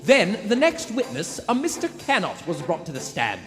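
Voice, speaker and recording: A man's voice: high voice, wildly swooping pitch, moderate pace, a bit bright, exaggerated voice. A man playing the dramatic narrator of a suspense radio play, theatrical in manner. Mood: suspenseful. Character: dramatic storyteller of a suspense thriller. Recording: live theater hall with music.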